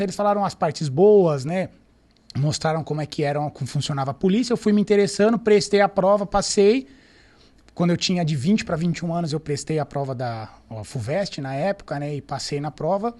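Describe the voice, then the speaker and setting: A man in his thirties with a São Paulo accent, a police captain calmly answering interview questions about his career. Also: high-pitched. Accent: Paulistano Brazilian Portuguese. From São Paulo, Brazil. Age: 30s. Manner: calm, measured, storytelling. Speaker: military police captain, guest.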